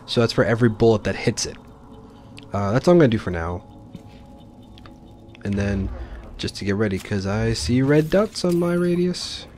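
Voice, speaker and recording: A man's voice: lowish voice, lively intonation, moderate pace, long pauses, a little mellow, slight background noise. A man giving casual, informal let's play commentary, explaining his choices as he plays. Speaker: gaming video host.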